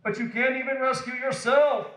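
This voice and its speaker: A man's voice: extremely high pitch, steady tone, normal speed, fairly bright tone, pitched above the performer's own voice. A man voicing a mocking, jeering soldier or onlooker in a children's storybook reading. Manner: taunting, mocking. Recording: roomy echo.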